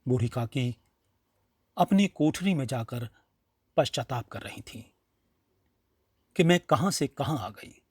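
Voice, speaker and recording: A man's voice: medium pitch, lively intonation, slow, long pauses, a little mellow, slightly rough, no echo. Man narrating, closely recorded and dry. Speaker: storyteller, narrator. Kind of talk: expressive scripted story narration.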